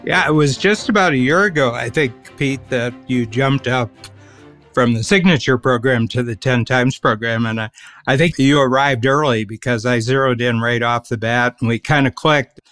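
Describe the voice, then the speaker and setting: A man's voice: medium pitch, normal speed, a bit bright, faint music behind. A man hosting a conversational interview who asks friendly, rambling questions. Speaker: interview host.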